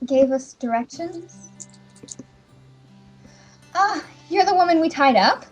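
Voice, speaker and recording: A woman's voice: extremely high pitch, lively intonation, slow, pitched above the performer's own voice, exaggerated voice. A woman voicing a frank, wary young princess champion in a fan-dubbed fantasy game, blunt and questioning. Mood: suspicious. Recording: dull microphone, faint music behind.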